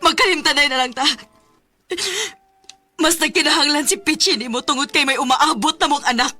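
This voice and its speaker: Casting: tender, trusting young Filipino girlfriend for radio drama, high bright voice, music underneath. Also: fairly quick. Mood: hurt, angry. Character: soft-spoken trusting young girlfriend, later betrayed and heartbroken.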